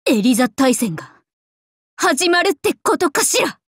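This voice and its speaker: Super high girly anime game dragon princess, vain and playful, voice swooping everywhere, dry recording. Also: sing-song, moderate pace, fairly bright tone, no room sound. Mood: smug. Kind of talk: Japanese anime game character voice lines.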